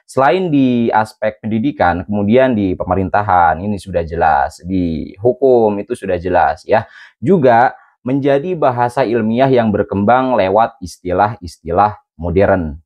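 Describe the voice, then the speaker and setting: Low-voiced Indonesian man explaining calmly. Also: highs cut off. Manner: measured, addresses viewers as friends. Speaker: reaction video host. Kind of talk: explaining to viewers.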